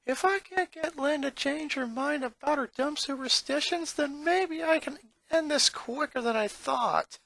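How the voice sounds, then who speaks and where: Narrator putting on an anxious, high-pitched scheming boy. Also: unhurried, creaky, few pauses, fairly bright tone, no echo, heavily acted. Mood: plotting. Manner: hesitant, careful with words. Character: anxious, scheming middle-child boy, tentative and sheepish.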